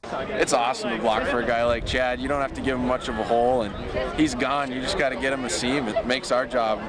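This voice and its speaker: A man's voice: medium pitch, fairly even intonation, a little fast. A young man with an American accent, a college football player giving a plain interview answer. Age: young adult. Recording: loud outdoor crowd noise.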